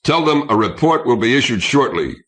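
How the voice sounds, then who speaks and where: A man's voice: mid-range voice, sing-song, a little fast, a bit bright, voice pushed up. A man reading an audiobook, voicing a shaken ship's crewman with an unsteady, anxious manner. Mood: unsteady, pleading. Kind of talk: audiobook character acting.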